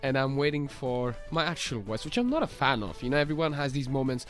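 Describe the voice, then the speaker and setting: Hoarse man, radio quizmaster, playful teasing, lively pitch, faint music. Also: brisk. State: hoarse, lost voice. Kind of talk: radio show banter. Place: radio studio.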